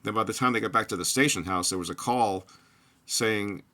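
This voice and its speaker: An older man speaks in a low but bright, forceful voice. He talks alone, rambling from point to point with restarts and pauses. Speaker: true crime researcher. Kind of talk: solo spoken monologue.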